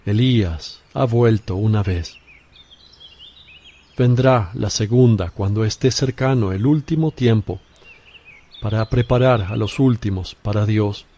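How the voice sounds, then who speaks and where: A man playing a solemn, grave holy teacher in a religious radio drama, speaking in measured declarations. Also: on the low side, mellow.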